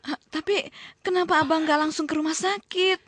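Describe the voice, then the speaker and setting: A woman's voice: very high voice, fairly even intonation, normal speed, fairly bright tone, no room sound. An actress playing a worried young woman caring for her family, asking anxious questions.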